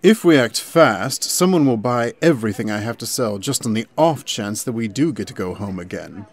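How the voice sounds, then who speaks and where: Vain smooth-talking prince male actor: suave, self-satisfied, mid-pitched, comic dub. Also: expressive, moderate pace. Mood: smug, persuasive. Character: smug, scheming charming prince, vain smooth talker.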